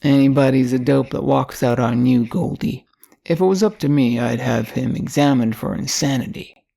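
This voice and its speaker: A woman reading the part of a fat, shady male lawyer with slangy, flattering talk. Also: a bass voice, normal speed, gravelly, exaggerated voice.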